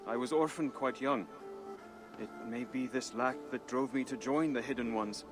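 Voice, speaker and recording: Guy acting polite devoted brotherhood member in a game cutscene, mid voice, soft-mannered and sincere, bit of music. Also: mid-range voice, moderate pace, lightly acted. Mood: earnest, humble. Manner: polite, gentle, formal. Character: modest, earnest, devoted male disciple of a secret brotherhood. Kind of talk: dramatic video game acting. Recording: light music behind the voice.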